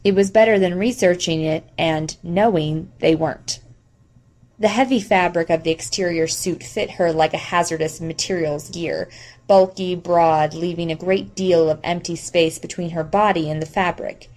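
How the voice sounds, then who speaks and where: A woman narrates a novel for an audiobook. Her voice is a little lower than most women's, and she reads scripted prose. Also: fairly even intonation, normal speed, darkish tone, poor audio.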